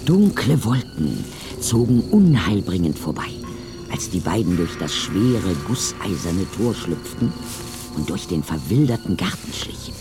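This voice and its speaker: Male narrator with very animated, dramatic delivery. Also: low-pitched, measured pace, over music. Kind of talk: scripted audio drama narration, suspenseful storytelling.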